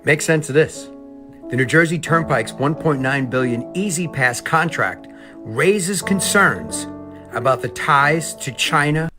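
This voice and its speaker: A man's voice: a little high, expressive, takes it slowly. A man giving wry commentary in an online video clip. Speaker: social media commentator. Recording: clip played over music. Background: guitar music.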